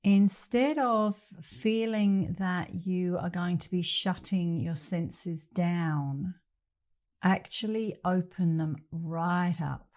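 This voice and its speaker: A middle-aged woman leading a guided meditation as an instructor, speaking gently and leaving long pauses between phrases. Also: on the low side.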